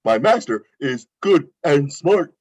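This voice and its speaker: A man's voice: a little high, sing-song, takes it slowly, heavily acted. A man voicing an eager, naive, friendly talking dog with childlike earnest wording at a table read, on a muffled mic. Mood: proud. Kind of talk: animated film script table read. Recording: muffled lo-fi microphone.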